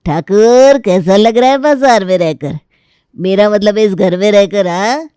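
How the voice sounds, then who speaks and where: A man's voice: sits high, slow pace, dark tone, smooth, no room sound, studio quality. A man playing a mocking, gloating uncle in a cartoon story, taunting as he speaks. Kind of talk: Hindi cartoon story character voice.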